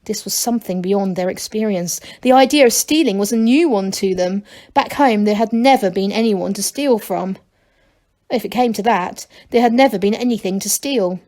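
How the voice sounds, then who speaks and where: A woman's voice: medium pitch, normal speed, darkish tone. A woman reading a children's storybook aloud as narrator, like a teacher to a class.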